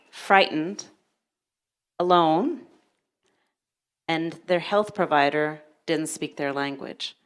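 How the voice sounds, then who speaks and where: A woman from Sudan speaking on a conference panel with a notably low voice. She works as a gynecologist and talks in a measured, steady way. She keeps turning to the audience with a string of questions. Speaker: panelist, OB-GYN. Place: lecture hall.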